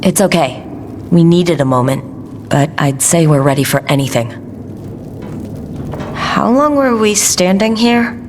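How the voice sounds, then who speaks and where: An actress playing a steady, supportive female adventurer in a video game, plain-spoken, reassuring and gently teasing. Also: lowish voice, a little slow, pauses often, background noise. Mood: composed.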